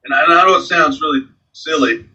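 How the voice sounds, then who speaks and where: Bright-voiced man in his forties, slightly distant. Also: on the high side, quick pace, dull audio. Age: 40s. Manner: rambling, frequent fillers like "man" and "you know". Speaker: guest. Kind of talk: casual interview.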